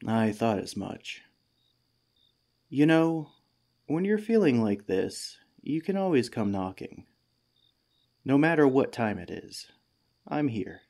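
A man playing a kindly, patient head teacher in a gentle, soothing ASMR comfort roleplay. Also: medium pitch, sing-song, slow, creaky, long pauses, exaggerated voice. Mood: tender, comforting.

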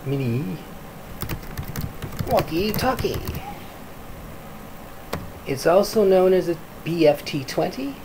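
A man from Canada giving an informal product review in a halting, piecemeal delivery. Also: on the high side, a little mellow. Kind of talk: informal screen-recorded review. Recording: clearly noisy home recording.

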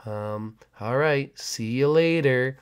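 A man voicing a creepy, smooth-talking male neighbor who speaks slowly and quietly. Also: mid-range voice, natural voice. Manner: slow, hushed, oily folksy talk.

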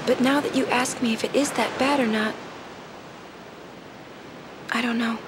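Woman acting a gentle, slow-speaking young summoner. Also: lightly acted. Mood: unsure. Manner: soft, hesitant, earnest. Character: gentle, dutiful, wistful young female summoner. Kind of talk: video game cutscene voice acting. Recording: game audio over steady surf-like rumble.

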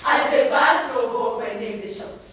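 Teen girl, Kenyan accent, high-pitched theatrical poetry recital, captured from far off in a very echoey hall. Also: a bit bright, a faint crowd, a little hiss or hum. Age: teens. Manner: theatrical, emphatic, with deliberate pauses. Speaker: high school student reciting a poem. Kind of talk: dramatic recited spoken-word poetry.

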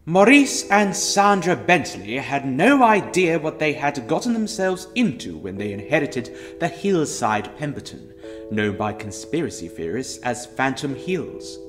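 A man plays a wry storyteller of ghostly tales, theatrical and very lively in pitch. Music plays clearly behind his audio drama narration. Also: measured pace.

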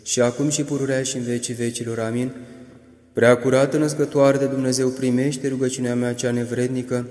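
A man reading liturgical prayers in a solemn, measured cadence, lifting his voice on each plea for mercy. His tone is dark and mellow and held on a narrow, almost level pitch. The sound carries a long, churchlike reverb in a roomy space. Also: flat delivery. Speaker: prayer reader.

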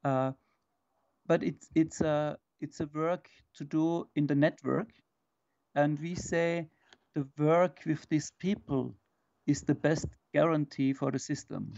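A man answering a conference question in halting English, with many pauses and restarts. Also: a little high, fairly even intonation, vocal fry, darkish tone, no room sound, lo-fi sound. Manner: hesitant, halting non-native English. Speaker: remote presenter answering questions.

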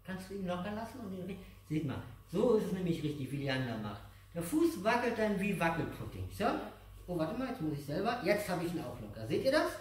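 A man's voice: sits high, big pitch swings, brisk, slight background noise. A middle-aged man teaching exercises step by step in simple, repeated phrases, patient and encouraging. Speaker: aikido instructor. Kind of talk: hands-on exercise instruction to a child and viewers. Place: large dojo room with mats, indoors. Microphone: distant camera microphone. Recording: echoey hall, far from the mic.

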